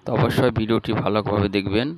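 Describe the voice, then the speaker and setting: A man's voice: on the low side, fairly even intonation, fast talker, poor audio. A man with a Bangladeshi accent narrating a step-by-step repair tutorial in a plain, instructional way. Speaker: electronics repair channel host.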